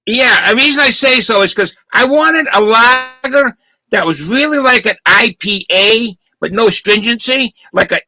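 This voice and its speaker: Bright-voiced Boston man reviewing beer. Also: high voice, low-quality recording. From Boston, Massachusetts. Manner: detailed, descriptive talk about flavor. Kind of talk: casual chat, beer tasting review.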